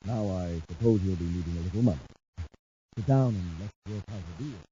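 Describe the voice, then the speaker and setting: Actor as blustering low-voiced American businessman, muffled radio. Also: brisk, warm tone, slight background noise, natural voice. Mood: scheming. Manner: blustering, brusque, scolding. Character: gruff, greedy, suspicious businessman, brother of a crook. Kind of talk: 1950s radio serial acting. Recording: muffled 1950s radio recording.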